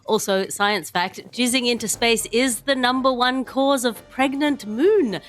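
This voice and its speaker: A woman's voice: fairly high pitch, a little slow, soft background music. A woman hosting a comedy news podcast, wry and wordy, moving between mock-grandiose scripted lines and loose riffing. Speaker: podcast host.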